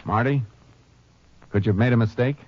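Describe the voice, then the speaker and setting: An American man playing a steady, terse Texas Ranger who narrates his own case in a 1950s radio drama, speaking in a clipped, matter-of-fact way. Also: mid-range voice, a little fast, close to an everyday voice. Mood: businesslike. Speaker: lead actor and narrator. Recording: muffled old radio broadcast with music.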